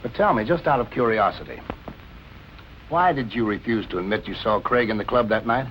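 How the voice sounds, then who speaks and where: An actor playing a firm, probing police captain in colloquial, authoritative 1940s film noir style. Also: male voice, fairly low pitch, expressive, brisk, a bit bright, a little hiss or hum, rough recording. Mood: easing, curious. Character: steady, probing police captain, grown man. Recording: vintage film soundtrack with music underneath.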